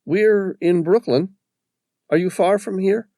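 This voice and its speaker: A man's voice: high-pitched, takes it slowly, mellow, dry recording, clean studio sound, voice pushed up. A male narrator voicing a wry, practical woman paramedic in a storybook reading. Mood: professional.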